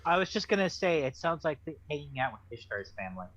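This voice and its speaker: A woman's voice: deep voice, very animated, fast talker, background noise. A woman giving casual, joking commentary as part of a book club chat. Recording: slightly dull, lo-fi microphone.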